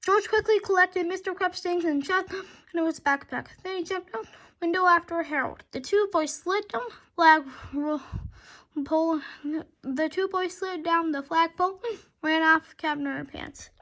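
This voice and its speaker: A woman's voice: very high-pitched, moderate pace, pushed and bright. A woman reading a children's chapter book aloud dramatically, stumbling over words and rereading them. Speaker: storybook reader.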